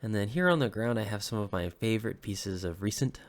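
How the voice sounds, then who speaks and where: Low-voiced man, slightly sick, relaxed. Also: fairly quick. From Hawaii. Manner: relaxed, many ums and uhs, corrects himself. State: slightly sick, recovering from illness. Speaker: woodworker and weapon maker. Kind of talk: casual show-and-tell vlog.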